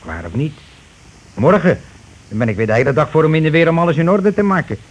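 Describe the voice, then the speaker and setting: An actor playing a scheming, money-grabbing husband and landlord in a radio play, talking in wheedling, self-justifying colloquial patter. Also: male voice, fairly high pitch, lively intonation, fast, muffled recording. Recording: noisy old radio drama recording.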